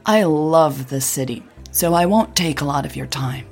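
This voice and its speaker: A woman's voice: deep voice, animated, moderate pace, darkish tone, faint music behind. A woman voicing an eager, upbeat, businesslike hipster agency man in an audio drama. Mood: beaming.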